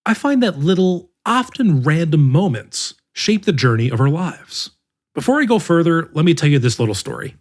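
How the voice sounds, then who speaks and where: Male podcast narrator, slightly high voice, husky tone, reads an essay aloud with measured phrasing. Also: very animated. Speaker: podcast narrator reading an article. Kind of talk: scripted narration.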